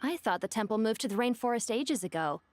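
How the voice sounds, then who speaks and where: A woman's voice: fairly high pitch, expressive, fast, a little mellow, soft background music, natural voice. A woman playing a quippy, puzzled character in a fantasy video game.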